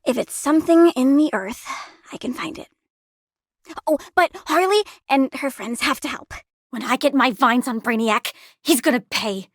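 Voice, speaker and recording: A woman's voice: high voice, normal speed, no echo, studio quality. A woman playing a childlike young plant-girl villain in a video game, whimsical and moody, cheerfully murderous. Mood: eager, menacing. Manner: girlish, whimsical, sulky, gleefully menacing. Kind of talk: video game character acting.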